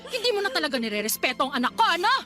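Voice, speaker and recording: Shrill, domineering Filipino mother. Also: sing-song, very fast. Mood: indignant, menacing. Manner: scolding, confrontational. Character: domineering, quarrelsome mother fiercely defending her grown son. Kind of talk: Tagalog radio drama acting.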